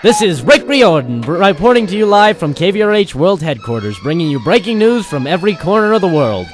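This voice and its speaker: Old-time radio announcer male actor, dramatic, formal delivery, higher-pitched, clear music behind. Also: big pitch swings, fairly quick. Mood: brisk, dramatic.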